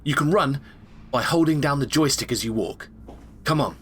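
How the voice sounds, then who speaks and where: A man's voice: mid-range voice, normal speed, slight background noise, close to an everyday voice. A man playing a chummy, eager space agent who guides the player as a partner in a sci-fi video game. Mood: businesslike, instructive. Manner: informal, enthusiastic, instructive. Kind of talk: video game tutorial dialogue acting.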